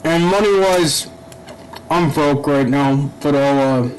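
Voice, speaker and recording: A young man giving casual, rambling commentary while playing a game, halting and swearing now and then. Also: a little high, clean tone, low-quality recording. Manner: rambling, halting, profane asides. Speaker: gaming YouTuber. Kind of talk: casual let's-play commentary.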